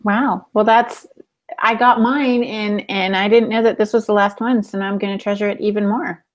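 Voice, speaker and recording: A woman's voice: mid-range voice, fairly even intonation, normal speed. A woman co-hosting a podcast, chatting casually with the others. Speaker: podcast co-host. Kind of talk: casual chat.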